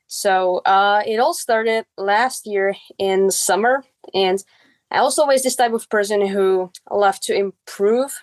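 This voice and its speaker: Young teenage girl with Czech accent. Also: mid-range voice. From Czech Republic. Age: early teens. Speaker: podcast guest. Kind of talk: casual personal storytelling.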